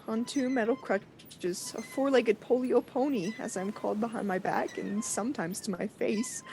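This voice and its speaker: Actress as a bitter withdrawn teen girl, middling pitch, radio monologue, faint rumble. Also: darkish tone, close to an everyday voice, rough recording. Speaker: actor in a college radio play. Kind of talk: scripted radio drama monologue. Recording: faint low rumbling noise.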